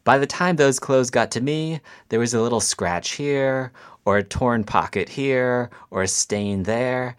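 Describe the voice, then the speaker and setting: A man who is a scientist guest, calmly and patiently explaining an idea to children with everyday examples. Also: mid-range voice.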